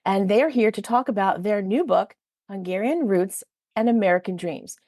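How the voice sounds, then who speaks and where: A woman's voice: mid-range voice, normal speed, a little mellow, dry recording, clean studio sound. A woman hosting a podcast, greeting listeners and introducing her guests in an upbeat, welcoming way. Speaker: podcast host. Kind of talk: scripted podcast intro and interview.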